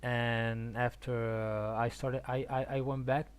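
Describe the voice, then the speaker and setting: A man from Argentina chatting casually on a game stream, haltingly thinking aloud and repeating words. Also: mid-range voice, little pitch movement, clean tone. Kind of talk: casual rambling stream chat about games.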